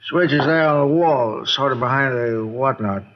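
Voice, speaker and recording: An actor playing a shaken, folksy older neighbor with halting, rambling speech in a 1950s radio drama. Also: male voice, medium pitch, slight background noise, lightly acted. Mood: shaken, weary. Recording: muffled old radio recording.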